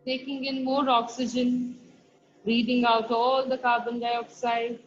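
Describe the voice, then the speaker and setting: Slow, soothing woman guiding a meditation. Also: fairly high pitch, level tone, slight background noise. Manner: soft, slow instructions with long pauses. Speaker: meditation guide. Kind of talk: guided meditation. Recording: dull lo-fi recording with faint music. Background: soft background music.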